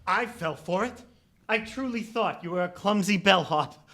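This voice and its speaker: A man's voice: sits high, lively intonation, moderate pace, bright, forceful tone, full character voice. A man playing a sincere, lonely, lovestruck suitor in a live 1940s-style radio play, earnest and sentimental. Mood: admiring. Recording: live stage recording.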